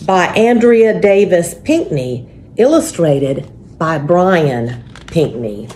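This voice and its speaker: A woman hosting a story time and reading to families in a measured, deliberate, expressive way. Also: fairly low pitch. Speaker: church story time host and reader. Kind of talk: children's picture book read-aloud with spoken introduction. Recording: faint background noise.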